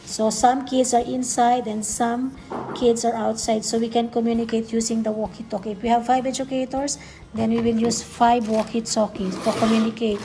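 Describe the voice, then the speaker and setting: A woman's voice: fairly high pitch, little pitch movement, moderate pace, noisy background. A woman vlogger giving a casual unboxing demonstration, explaining things simply step by step and often repeating herself. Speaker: childcare educator. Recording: background music. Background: music.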